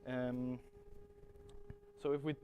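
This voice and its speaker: A man in his thirties from Denmark speaks with a Danish accent, at an ordinary middle pitch. He presents to a room, stopping and restarting his phrases as he searches for words. A faint hum sits under the recording. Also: level tone, takes it slowly, muffled recording. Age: 30s. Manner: hesitant, frequent restarts and fillers. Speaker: guest speaker. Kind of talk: conference presentation.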